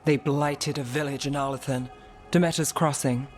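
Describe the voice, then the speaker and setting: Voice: mid-range voice, fairly even intonation, normal speed, darkish tone, close to an everyday voice. A cool, self-assured fantasy detective played by an actor, speaking wryly in a video game. Faint music plays underneath the voice. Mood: determined, brisk.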